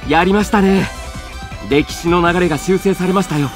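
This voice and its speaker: A man's voice: high-pitched, moderate pace. A man playing a polite, earnest young warrior in a Japanese anime game, formal and respectful, easily flustered. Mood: earnest, puzzled. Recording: music behind the voice.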